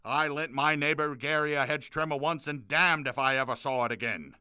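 A man's voice: a little high, brisk, pushed and bright, clean tone, no echo, clean studio sound. A man playing a pompous middle-aged wartime president with petty grievances, in a parody of a 1940s radio address. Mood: aggrieved, petty. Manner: formal broadcast oratory sliding into grumbling.

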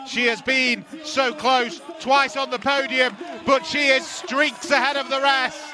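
A man's voice: extremely high pitch, a little slow. A man giving live commentary on a bike race, calling the action with energy. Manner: energetic, calls the action as it happens.

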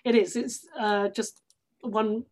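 A woman's voice: mid-range voice, fairly even intonation, slow pace, a little mellow, a little hiss or hum. A woman from London chatting casually as a guest on a podcast. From London, England. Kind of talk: casual chat.

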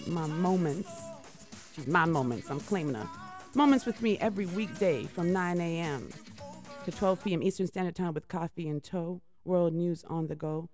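A middle-aged woman with a deep voice, hosting a live radio show in chatty, rambling talk with laughs and restarts. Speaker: radio host.

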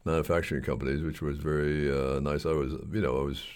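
Older guy, super deep voice, chatting in an interview, kinda rambly and halting, repeats himself. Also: little pitch movement, darkish tone. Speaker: surgeon interview guest. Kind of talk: conversational interview, reminiscing.

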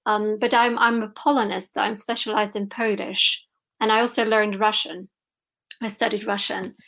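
A woman's voice: medium pitch, flat delivery, normal speed, vocal fry, a bit bright. A woman from Belgium, a children's book publisher, talking on a video call with many restarts and frequent laughs. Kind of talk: remote interview.

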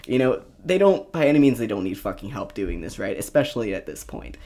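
A man's voice: lowish voice, big pitch swings, fairly quick, vocal fry, darkish tone. Young guy podcast co-host, rambly casual joking around, lots of swearing. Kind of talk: casual comedic banter.

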